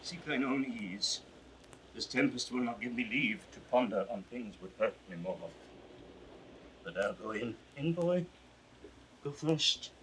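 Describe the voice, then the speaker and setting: A man's voice: mid-range voice, animated, a little slow, long pauses, fairly bright tone, low-quality recording. An actor playing a proud, anguished old king slipping toward madness, grave and declamatory in classical Shakespearean style. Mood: weary pity. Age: older. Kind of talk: classical Shakespearean audio drama acting. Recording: hissy vintage 1960s drama recording.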